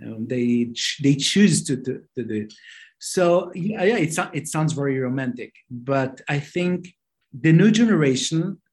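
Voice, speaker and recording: A man, a rabbi, speaking as a guest, with an of Yiddish and many halting restarts. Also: medium pitch, lively intonation, darkish tone. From Israel. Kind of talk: interview answers.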